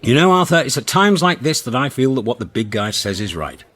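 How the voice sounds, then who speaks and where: A man in a radio comedy drama playing a cocky, impulsive galactic travel writer, breezy, slangy and excitable. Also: mid-range voice, a little fast.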